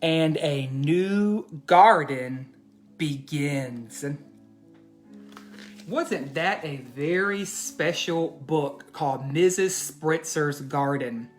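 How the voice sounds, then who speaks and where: A man reading aloud and teaching for children, expressive and addressing them directly with questions. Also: a little high, extremely slow, faint music behind. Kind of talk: children's storybook read-aloud and lesson.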